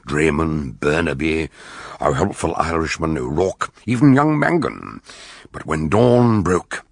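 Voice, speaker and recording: An actor playing a shrewd, commanding older British detective who also narrates a radio drama, gravelly and husky, with a theatrical, emphatic delivery. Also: male voice, a bass voice, no room sound, clean studio sound, exaggerated voice. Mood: measured narrating.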